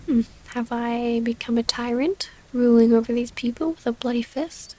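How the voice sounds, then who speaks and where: A woman playing a gentle, formal vampire noblewoman and wounded lover in an intimate ASMR roleplay, speaking in a soft, breathy half-whisper. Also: on the high side, moderate pace, close to an everyday voice. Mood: hurt, confronting.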